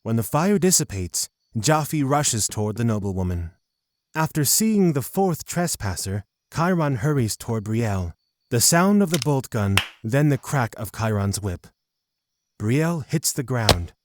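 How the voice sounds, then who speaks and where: A man's voice: mid-range voice, sing-song, a little slow, no echo. Man, fantasy audio drama narration, mid-range voice, very dry recording.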